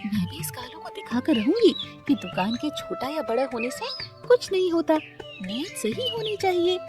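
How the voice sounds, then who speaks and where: Woman doing a sweet polite cartoon bird girl, really high n swoopy, earnest, music underneath. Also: very animated, normal speed. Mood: determined. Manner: earnest, courteous, speaks up for herself. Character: sweet, polite, hardworking female bird bangle seller. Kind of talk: children's cartoon story acting.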